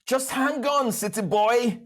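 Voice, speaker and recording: A woman voicing a cocky, boastful young boy adventurer in an animated series, with a brash manner. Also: a little high, slow pace. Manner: brash, bragging. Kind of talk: animated series table read.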